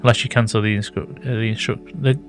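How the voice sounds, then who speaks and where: A British man with a slightly raspy voice, thinking aloud and correcting himself as he casually commentates a building game. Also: medium pitch, steady tone, fast talker, faint music behind. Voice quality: slightly raspy from early morning. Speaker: gaming YouTuber. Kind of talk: casual let's-play commentary.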